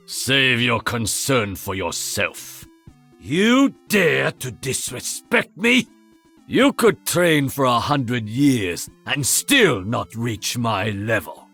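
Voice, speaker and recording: An actor playing a proud, stern master archer in a video game, with a Japanese accent and a formal, haughty delivery. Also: male voice, medium pitch, sharp tone, full character voice. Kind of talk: video game character voice lines.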